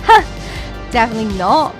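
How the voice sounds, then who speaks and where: A woman's voice: a little high, sing-song, brisk. A young woman playing a cheeky, sarcastic student in an audio drama, bantering casually. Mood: dismissive, sarcastic. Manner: teasing, sarcastic. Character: stylish young Irish student, cheeky and dismissive of boys. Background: music.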